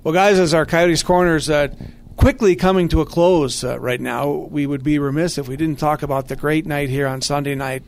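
A man with a fairly high voice hosts a sports discussion. He talks like a radio play-by-play announcer, enthusiastic and generous with his praise. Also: fairly even intonation, normal speed, vocal fry, a little hiss or hum.

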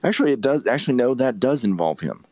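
A man's voice: mid-range voice, sing-song, fast, darkish tone, no room sound. A man hosting a podcast, discussing films casually in an emphatic, opinionated way and swearing freely. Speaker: podcast host.

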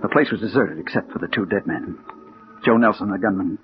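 An actor playing a hard-boiled American detective, narrating and acting with dramatic intensity in a 1940s radio crime drama. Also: male voice, lowish voice, brisk, soft background music, natural voice. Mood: grim, determined. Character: hard-boiled male private detective bent on revenge. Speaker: narrator and lead character. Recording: muffled old radio recording with hiss.

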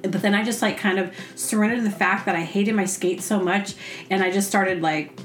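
Female podcast host, medium pitch, casual rambling storyteller, self-mocking, acts out her asides, echoey room sound. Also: a little fast, soft background music. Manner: animated, acting out her own reactions and asides; rambling, self-deprecating humor.

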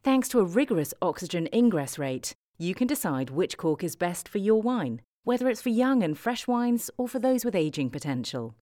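A woman's voice: mid-range voice, sing-song, moderate pace, few pauses, dark tone, no room sound, studio quality. A woman giving a polished, scripted sponsor announcement. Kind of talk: scripted ad read.

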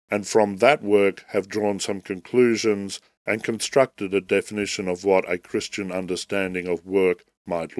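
A man with a fairly low voice, made raspy and nasal by the tail end of a head cold. He hosts a podcast and reads a prepared essay on theology in a slow, formal, deliberate way. The recording is close and dry, with no room sound. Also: darkish tone, no echo. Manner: measured, formal, deliberate delivery. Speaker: podcast host.

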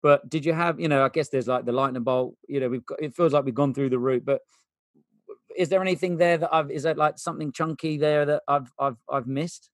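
A man's voice: a little high, steady tone, brisk, dry recording. Man asking long winding questions, dry sound. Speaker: podcast host. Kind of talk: casual interview chat.

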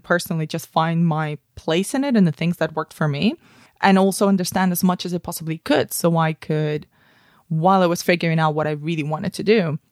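A woman's voice: lowish voice, dry recording, studio quality. A woman in her thirties from Spain, a guest telling her career story in a podcast interview, thoughtful with many "kind of" fillers and restarts. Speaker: guest, film industry professional.

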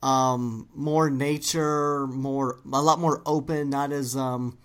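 Low-voiced enthusiastic woman interviewer. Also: fairly even intonation, slow. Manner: enthusiastic, rambling with fillers. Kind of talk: casual interview chat.

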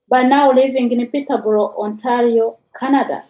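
A woman with a Rwandan accent telling a personal story for radio, with dramatic pauses and rhetorical questions. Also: fairly high pitch, fairly even intonation, lo-fi sound.

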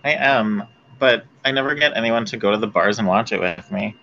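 Lo-fi low-voiced male podcast guest. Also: a little fast, gravelly, crowd noise. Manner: easygoing, laughs a lot, short reactions. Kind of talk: casual podcast chat.

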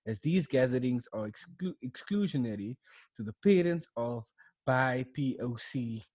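A young man talks casually on a podcast. He is animated and full of slang, often restarting his sentences. His voice sits at a middling pitch. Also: moderate pace, slightly rough. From South Africa. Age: young adult. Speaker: podcast co-host. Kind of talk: casual chat, reading a list aloud.

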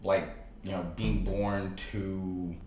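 A man's voice: low-pitched, little pitch movement, slow, a little mellow, echoey room, a little hiss or hum. A young man from Tanzania, a podcast guest talking casually, full of "like" and swearing easily. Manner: casual, filler-heavy, swears and laughs easily. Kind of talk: casual interview.

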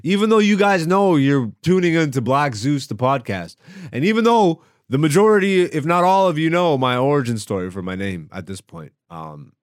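A man's voice: a little high, very animated, normal speed, no room sound. A man born in Greece doing a brash, slangy, casual comedy podcast monologue, swearing freely as he hosts from a home studio.

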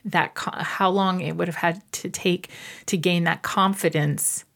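A woman hosting a conversational intuitive reading, listening with frequent affirmations and explaining calmly. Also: on the low side, unhurried, vocal fry. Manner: warm encouraging listener, frequent mm-hmms. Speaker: medical intuitive host. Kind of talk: casual conversation.

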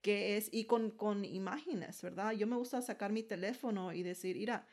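A woman's voice: medium pitch, moderate pace, darkish tone. A woman co-hosting a podcast as a dental hygienist, explaining patient care conversationally and slipping into English now and then. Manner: explains with many tag questions, mixes in English. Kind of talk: conversational interview.

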